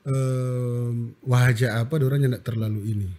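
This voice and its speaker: A man's voice: mid-range voice, moderate pace. A man with a Manado accent, talking casually as a guest on a talk show and acting out the people he quotes. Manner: animated, acts out quoted people. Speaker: guest, AI content creator.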